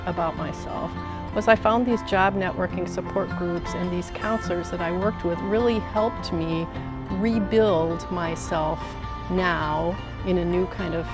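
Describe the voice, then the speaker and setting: A middle-aged woman speaking as a job coach, with a voice that sits a little low. She talks with emphatic, energetic pep-talk drive, and music plays clearly under her. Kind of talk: documentary interview.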